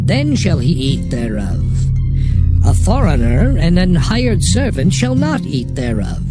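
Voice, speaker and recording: Solemn God figure, slow measured commands, raised expressive pitch, dramatized Bible, loud music. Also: male voice, heavily acted. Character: the Lord, solemn male divine lawgiver. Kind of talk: dramatized scripture acting.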